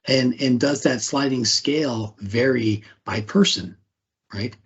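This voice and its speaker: Guy giving a privacy talk, slightly husky voice, keeps asking questions, dull lo-fi sound. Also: mid-range voice, takes it slowly. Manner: asks rhetorical questions, tags "right?". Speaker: speaker leading a privacy talk. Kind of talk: conversational presentation.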